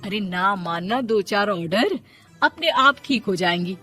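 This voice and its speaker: A woman plays a gossipy female neighbour in a cartoon tale. She is insinuating and meddling, mid-pitched, with faint music behind. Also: expressive, a little slow, pushed and bright, breathy edge, exaggerated voice. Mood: needling, gossipy. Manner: needling, insinuating. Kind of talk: animated storybook character acting.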